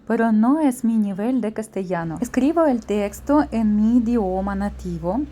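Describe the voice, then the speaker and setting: A woman narrating her travel vlog as a scripted voice-over, reading slowly and carefully from a memorized translated script. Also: medium pitch, lively intonation, mellow. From outside Paraguay. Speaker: travel vlogger. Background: faint background music.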